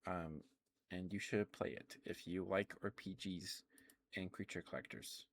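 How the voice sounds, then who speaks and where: A man streaming an ASMR session, rambling softly and chatting with his viewers in a relaxed way. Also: low-pitched, measured pace, a little hiss or hum. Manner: soft rambling, talks to chat.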